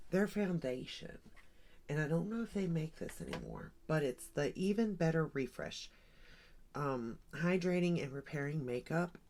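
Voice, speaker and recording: A woman's voice: sits low, darkish tone. A woman in her forties chatting casually while doing her makeup, rambling and thinking aloud with lots of ums and likes. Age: 40s. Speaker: beauty vlogger. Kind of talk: casual get-ready-with-me makeup chat.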